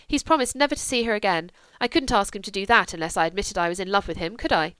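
A woman's voice: mid-range voice, fast, fairly bright tone, smooth, dry recording, lightly acted. A woman voicing a spirited, headstrong young aristocratic woman who talks in an impetuous, petulant way. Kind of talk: audiobook character voice.

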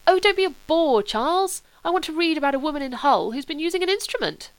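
A whimsical, petulant young aristocrat, as a woman puts him on in a storybook reading. His voice sits high above the narrator's and lilts with breezy, teasing excitement. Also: fast talker, dry recording, rough recording.

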